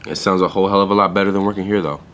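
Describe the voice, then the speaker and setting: A man's voice: deep voice, steady tone, racing, a bit bright. An actor playing a laid-back young man working as a store clerk in an audio play, speaking casually. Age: young adult. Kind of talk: audio theater acting.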